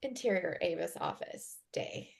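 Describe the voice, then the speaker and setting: A woman's voice: on the low side, expressive, measured pace, vocal fry. A woman reading out stage directions as scripted narration at a table read.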